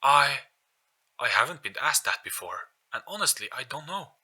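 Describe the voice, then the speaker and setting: A man voicing a melancholy, forgetful ghost in an audio story, husky, with a theatrical, halting delivery. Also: mid-range voice, very animated, pushed and bright, voice pushed up, heavily acted. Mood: melancholy, unsure. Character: melancholy, forgetful male ghost living in a gramophone.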